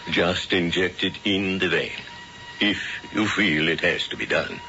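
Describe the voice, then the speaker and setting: An actor playing a curt psychiatrist who leads a coven, speaking in a clipped, businesslike way. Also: male voice, low voice, fairly even intonation, measured pace, pushed and bright, a little hiss or hum. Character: curt male psychiatrist, high priest of a coven. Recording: dull old radio broadcast.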